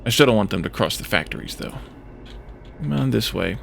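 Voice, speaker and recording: A man giving casual gameplay commentary as a let's play host, thinking aloud in a hesitant, halting way. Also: lowish voice, sing-song, gravelly, a little hiss or hum. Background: music in the background.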